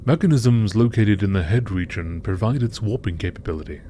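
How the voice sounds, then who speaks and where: A man's voice: lowish voice, brisk, mellow, a little hiss or hum. A man reading scripted entries as a narrator in the style of a nature documentary.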